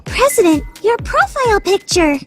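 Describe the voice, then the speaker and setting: A woman playing a bubbly, gushing, cutesy high school girl in an excitable anime radio play style. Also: normal speed. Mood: gushing excitement. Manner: squealing, excitable. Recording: loud background music under the voice.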